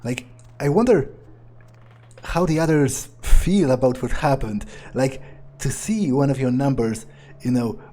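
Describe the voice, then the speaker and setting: Gamer man as scornful male vampire, dark mellow tone, husky, sweary, halting improvised roleplay. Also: medium pitch, close to an everyday voice. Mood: amused, musing. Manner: sneering, hesitant improvising, swears heavily. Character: callous, foul-mouthed, scornful vampire man. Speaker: gamer playing in character. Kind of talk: improvised in-character game roleplay.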